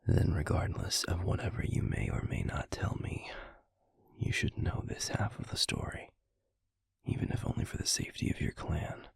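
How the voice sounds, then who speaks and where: A man playing a stern, guarded werewolf pack alpha in an audio roleplay, speaking formally and deliberately in a gravelly, husky voice. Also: deep voice, dry recording, whispered, full character voice. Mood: grave, measured. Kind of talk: scripted audio roleplay acting.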